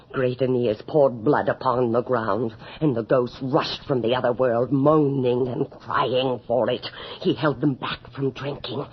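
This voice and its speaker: Imperious, bloodthirsty widowed countess, slow and husky, grand theatrical delivery, gothic radio horror. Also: female voice, a bass voice, heavily acted. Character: imperious, obsessed widowed noblewoman, bloodthirsty and ruthless. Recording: dull vintage radio drama recording.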